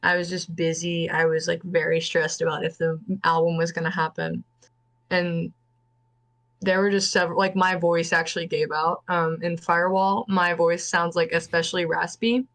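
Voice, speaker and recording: Young female musician, a bit low-pitched, easygoing chatty delivery. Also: level tone, moderate pace, fairly bright tone. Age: young adult. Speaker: band vocalist and clarinetist. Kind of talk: casual interview over a call.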